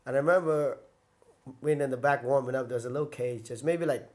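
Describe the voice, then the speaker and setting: A man's voice: mid-range voice, fast, darkish tone. A young man sits as the guest on an interview podcast and talks through his early fighting days. He wanders off on tangents, fills his sentences with "like," and keeps going back to correct himself. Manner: rambling, filler-heavy, self-correcting. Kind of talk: casual storytelling interview.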